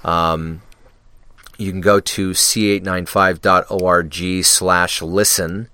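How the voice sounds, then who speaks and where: A man's voice: sits low, flat delivery, takes it slowly, bright, forceful tone, smooth, no echo. A man guesting on a podcast, chatting casually about his work, rambling with many fillers and restarts. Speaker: podcast guest, radio DJ and actor. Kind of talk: casual interview chat.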